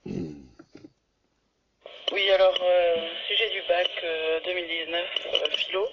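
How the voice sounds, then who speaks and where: Over a two-way radio, a man chats in a halting, courteous and slightly formal way. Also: high-pitched, fairly even intonation, dry recording. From France. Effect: two-way radio transmission. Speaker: novice radio operator. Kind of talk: casual two-way radio chat. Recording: very noisy, muffled two-way radio transmission.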